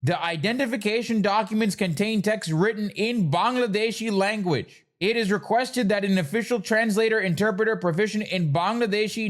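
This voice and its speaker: A man giving blunt, argumentative political commentary in a casual livestream style, sometimes reading text aloud. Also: sits high. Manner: blunt, argumentative, reads texts aloud and interjects. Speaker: online commentator reacting to news.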